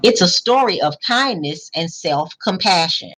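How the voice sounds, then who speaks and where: A woman reads a picture book aloud for children in a low, husky voice. Her pitch moves around in a lively, expressive way. She sounds like a principal at storytime, stopping to explain and to ask questions. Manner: pauses to explain and question listeners. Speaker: school principal reading to children.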